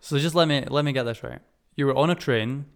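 A man hosting a podcast in a casual, informal chat, often halting and rephrasing himself as he goes. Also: medium pitch, gravelly. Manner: informal, halting, self-correcting. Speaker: podcast host.

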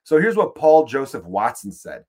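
A man's voice: mid-range voice, very animated, normal speed, darkish tone. A man with a Chicago accent, hosting a podcast and delivering a profane, exasperated, rambling comedic rant. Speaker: podcast host.

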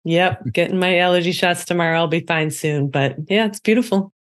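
Female podcast co-host, slightly low pitch, casual chat. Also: steady tone, brisk.

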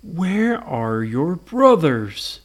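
Big storybook monster, friendly yet firm, emphatic, lively swooping pitch, played by a woman. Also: very slow, full character voice.

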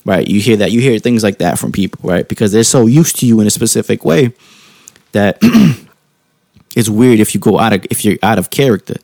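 A man speaks in a low, mellow voice with a good deal of fry in it. He is calm and soft-spoken, musing informally and often circling back to reword a thought. The sound is dry, with no room around it. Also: no room sound. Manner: calm, informal slang, halting rephrasing with frequent tag questions. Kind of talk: casual reflective monologue.

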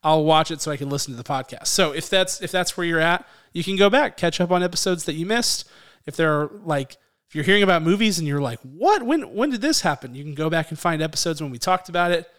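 A man talks casually as co-host of a movie podcast. His voice sits a bit high and sounds smooth and clear. He comes across upbeat and a little teasing. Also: expressive, quick pace, fairly bright tone. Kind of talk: casual chat.

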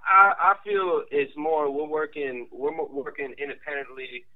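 A man from the rap group, speaking in a measured, slightly hesitant way as a guest. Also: on the high side, fairly even intonation, narrow, tinny audio.

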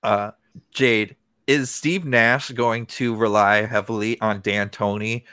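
A man's voice: fairly low pitch, steady tone, takes it slowly, bright, forceful tone, clean tone, no room sound, studio quality. A man hosting a sports podcast, joking and loose, reading an ad and asking the questions. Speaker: podcast host. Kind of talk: casual sports podcast chat.

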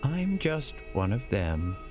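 A courteous male android in an audio drama. He speaks very slowly and solemnly with wide melodic swings and eerie politeness, music underneath. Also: medium pitch, big pitch swings. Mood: solemn, polite. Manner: slow, measured, eerily polite.

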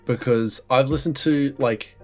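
A man's voice: medium pitch, measured pace, a little mellow, soft background music. Jokey young man chatting loosely. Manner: laughs easily, jokey, hesitant restarts. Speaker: podcast co-host. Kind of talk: casual chat.

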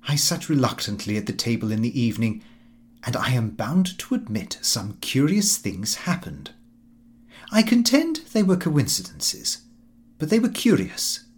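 A man's voice: medium pitch, huge pitch swings, a little slow. A man voicing a pompous, fussy middle-aged Victorian clerk in comic audiobook narration, prim and precise in his delivery. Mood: earnest, grudging. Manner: prim, self-important, precise.

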